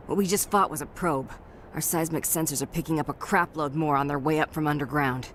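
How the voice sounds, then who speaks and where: An actor performs a gritty military lieutenant for a video game, tired of fighting but resolute. The talk is blunt and clipped, at a middling pitch. There is some steady noise behind the voice. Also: a little fast, natural voice. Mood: urgent, resolute.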